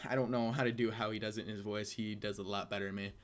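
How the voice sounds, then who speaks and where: A man's voice: fairly low pitch, flat delivery, racing. A young man tells personal stories in a casual, crude, joking way, amused by his own jokes as a video host. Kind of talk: casual comedic personal storytelling.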